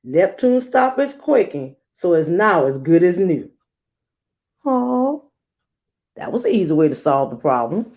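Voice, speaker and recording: A woman reading a rhyming children's picture book aloud, acting it out with theatrical emphasis and dramatic pauses. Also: on the low side, sing-song, a little mellow. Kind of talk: expressive rhyming storybook read-aloud.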